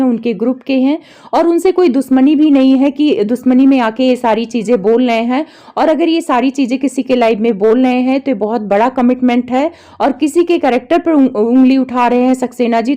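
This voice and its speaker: Woman reaction vlogger, high-pitched with soft mellow tone, explaining things to viewers. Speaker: reaction vlogger presenting recordings. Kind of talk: gossip commentary addressed to viewers.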